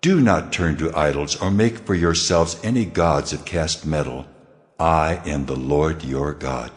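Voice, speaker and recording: An actor playing God in a dramatized scripture reading, speaking with slow, grave authority. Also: male voice, very deep voice. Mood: solemn. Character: God speaking laws, solemn commanding male deity. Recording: long reverb with faint music.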